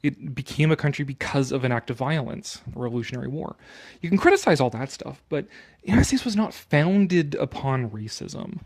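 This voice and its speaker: A man's voice: medium pitch, lively intonation, brisk, a little mellow. A Canadian man giving casual, opinionated commentary on an article he reads aloud, sarcastic, exasperated and given to swearing.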